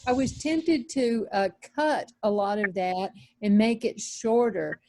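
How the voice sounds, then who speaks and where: A woman's voice: fairly high pitch, animated, slow pace, dark tone. A woman speaking casually as a playwright in a group discussion over a video call.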